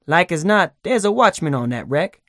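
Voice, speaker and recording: A man plays a cautious, grumbling grown man in a storybook reading. He drags his words into a slow, doubtful drawl and speaks a bit raised. The recording is dry. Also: a little high, sing-song, fairly bright tone, no echo, pitched above the performer's own voice.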